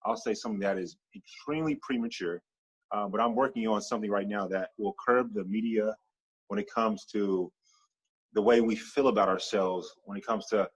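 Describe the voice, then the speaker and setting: A man speaking as a podcast guest in a casual interview, animated and stacking long repeated lists as he talks. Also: on the low side, normal speed, husky, poor audio.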